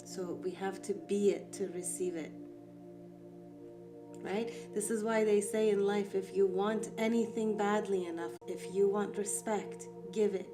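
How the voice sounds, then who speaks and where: A woman whose first language is Farsi, giving a calm, reflective guided art lesson with soft emphasis. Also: mid-range voice, a little slow, music in the background. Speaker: art therapy instructor.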